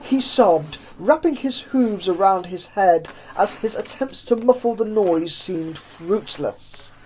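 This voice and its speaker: Woman reading a spooky story, slow and theatrical, voice moves up and down a lot, bit of background noise. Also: medium pitch, lively intonation, a little mellow, low-quality recording. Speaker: narrator reading fan fiction. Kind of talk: dramatic horror story narration.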